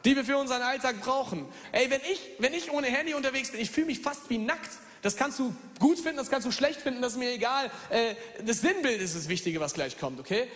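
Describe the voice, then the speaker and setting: A pastor in his thirties from Germany preaching a lively sermon, moving from loud calls to hushed near-whispers and speaking straight to his listeners. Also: very high-pitched, a little fast, hardly any pauses, long reverb tail. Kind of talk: lively sermon with personal stories.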